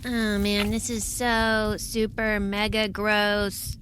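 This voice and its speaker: A woman's voice: medium pitch, flat delivery, slow pace, fairly bright tone, slight background noise. An actress playing a squeamish, eager-to-help young woman with gushing, slangy talk in an audio drama. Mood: squeamish, chirpy.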